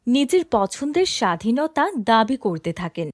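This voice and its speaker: High-pitched, lively woman storyteller. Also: a little slow, no echo, clean studio sound. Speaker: storyteller narrator. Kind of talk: scripted fairy-tale narration.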